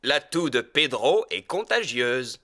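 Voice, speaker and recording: A performer voicing a calm, kindly doctor bear in a children's cartoon dub, with a reassuring manner. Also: medium pitch, slow, full character voice. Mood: explaining. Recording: clear music behind.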